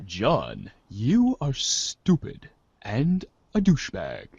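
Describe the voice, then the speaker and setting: A man's voice: mid-range voice, wildly swooping pitch, gravelly, a little mellow, husky, exaggerated voice. A man playing an imperious, insulting commander in a read-along storybook comedy, pompous and exasperated as he orders a boy about. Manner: pompous, exasperated, slow and theatrical. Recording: echoey, dull lo-fi recording.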